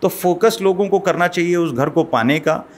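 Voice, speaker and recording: A middle-aged man as a guest expert, explaining step by step in a studio interview at a desk mic. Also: high-pitched. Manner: measured, explaining, code-mixes English terms. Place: small studio set. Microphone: desk mic on boom arm.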